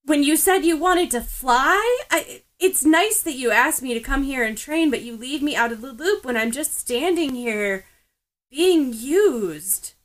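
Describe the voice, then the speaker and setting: Woman doing a flustered teen girl from an anime story, high pitched, blurty and earnest. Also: lively intonation, measured pace. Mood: indignant. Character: flustered, frank teenage girl hero in training.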